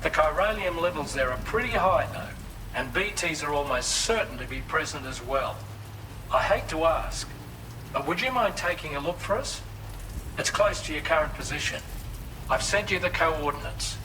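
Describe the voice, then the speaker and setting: A man's voice: on the high side, lively intonation, moderate pace, recorded from a distance, background noise. A man playing a polite, earnest colleague giving a businesslike mission briefing in a video game. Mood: concerned, hopeful. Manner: courteous, businesslike.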